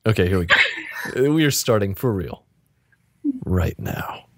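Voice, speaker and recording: A man's voice: lowish voice, animated, normal speed, a little hiss or hum. A man hosting a podcast as game master, mixing casual chat with dramatic interactive fiction narration.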